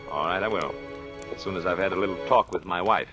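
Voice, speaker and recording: An actor playing a calm, controlling psychiatrist in an old-time radio thriller, educated, polite and commanding. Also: male voice, low voice, fast talker, over music, natural voice. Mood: courteous, controlled.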